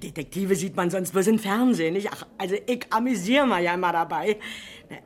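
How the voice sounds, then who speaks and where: An actress playing an excitable, nosy working-class woman with a Berlin accent in a 1970s radio crime drama, garrulous and colloquial. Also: medium pitch, brisk, natural voice. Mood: chatty, self-important. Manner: garrulous, colloquial, breathlessly stammering in agitation.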